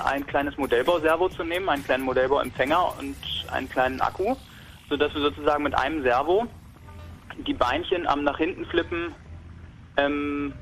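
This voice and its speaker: A man's voice: fairly high pitch, low-quality recording. A young man from Berlin talking casually about a hobby robot project, rambling with many fillers and restarts. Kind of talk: casual technical talk.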